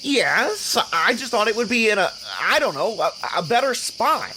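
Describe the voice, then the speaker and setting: A fussy, nervous space traveler for an audio drama, acted by a woman. The voice is fairly high and very lively in pitch, whining and hesitating with squeamish worry. Bugs chirp clearly in the background. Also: moderate pace. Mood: anxious. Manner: whiny, hesitant complaining. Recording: insect-filled jungle noise behind.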